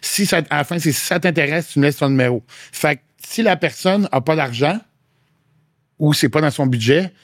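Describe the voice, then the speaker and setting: A man's voice: a little high, moderate pace, no room sound, clean studio sound. A man with a Québécois French accent, a fitness coach talking frankly as a podcast guest, slipping English slang into casual chat. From Quebec.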